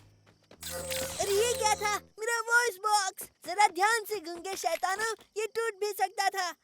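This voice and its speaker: A woman's voice: extremely high pitch, steady tone, measured pace. A woman voicing an arrogant boy villain inventor in a dubbed children's cartoon, sneering and theatrical. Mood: indignant. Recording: voice over loud music.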